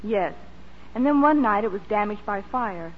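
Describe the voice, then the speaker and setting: A woman's voice: mid-range voice, expressive, normal speed, slightly rough. A young woman, an actress guest, speaking in a scripted 1940s radio interview. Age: young adult. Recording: dull, reverberant, faintly noisy old radio recording.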